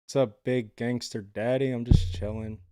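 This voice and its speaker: A man's voice: mid-range voice, fairly even intonation, normal speed, warm tone, clear, resonant tone, no room sound, clean studio sound. A man casually chatting with his live viewers from home, laid-back and rambling with lots of filler words. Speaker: livestreamer.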